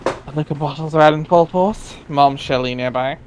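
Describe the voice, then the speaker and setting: A man's voice: fairly high pitch, a little fast, a little mellow, a little hiss or hum. A man reading narration aloud in a dry, wry way, dropping in casual asides. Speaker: reader in a group dramatic reading.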